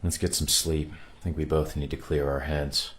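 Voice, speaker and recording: Space crew leader, male actor, very low register, measured and pragmatic, weary, naturalistic radio play. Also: flat delivery, a bit bright. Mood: calm, decisive. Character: commanding officer of a stranded space crew, pragmatic, weary man.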